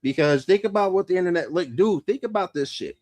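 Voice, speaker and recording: A man's voice: high-pitched, expressive, fairly quick, a little mellow, no room sound. A man in his thirties hosting a podcast, talking loosely and profanely and laughing often. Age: 30s. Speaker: podcast host. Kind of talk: casual podcast chat.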